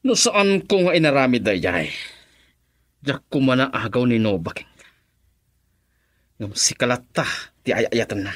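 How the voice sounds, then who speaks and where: A man's voice: mid-range voice, lively intonation, measured pace, long pauses. A man playing a cruel, bitter jailed villain in radio drama style, with a Filipino accent and a mocking manner. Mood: defiant, bitter.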